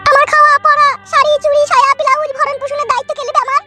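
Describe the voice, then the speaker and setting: A woman's voice: very high-pitched, little pitch movement, very fast, sharp tone, faint music behind, heavily acted. A woman voicing an aggrieved young village wife who pleads and complains in a cartoon dub. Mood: complaining.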